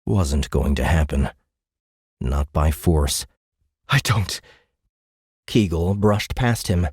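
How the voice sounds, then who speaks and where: A man's voice: low-pitched, darkish tone, no echo, studio quality. A man reading scripted, dramatic science fiction narration as an audiobook narrator.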